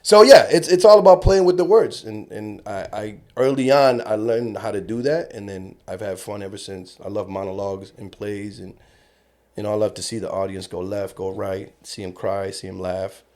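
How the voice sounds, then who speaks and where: A middle-aged actor from Cuba talking casually in a podcast interview, an animated storyteller who swears freely. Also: male voice, on the low side, normal speed. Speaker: actor guest.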